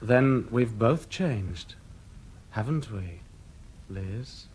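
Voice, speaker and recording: An actor in a radio drama playing a smug, cynical English ex-soldier, suave and mocking, with an English accent. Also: male voice, on the low side, lively intonation, drawn-out words, darkish tone, a little hiss or hum. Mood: mockingly nostalgic.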